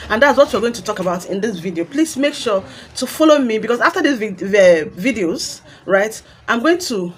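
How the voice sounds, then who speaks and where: A woman hosting her channel, introducing a commentary video in an emphatic, exhorting way. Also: a little high. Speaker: channel host.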